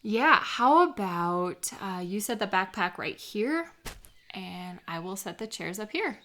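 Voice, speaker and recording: A woman playing a warm, teasing best friend in a lighthearted, intimate audio roleplay, chatting with gentle encouragement and small hesitant pauses. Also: medium pitch, sing-song, slight background noise, exaggerated voice. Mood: friendly, relaxed.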